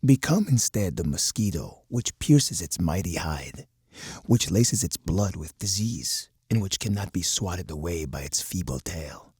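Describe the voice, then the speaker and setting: A man playing a stern, uncompromising army colonel in a strategy game, speaking in measured declarations with a gravelly, husky voice. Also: sits low, animated, darkish tone, no echo, clean studio sound, full character voice. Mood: menacing, calculating. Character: uncompromising hawkish male army colonel, grim military strategist. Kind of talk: scripted game voice lines.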